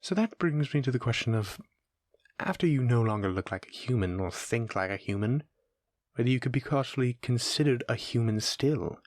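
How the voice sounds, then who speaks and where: A man's voice: lowish voice, dry recording, studio quality. A man playing an ancient, sadistic monster in a horror audio roleplay, musing theatrically and mocking the human pet he addresses. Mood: delighted. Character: taunting sadistic centuries-old male monster keeping a human pet.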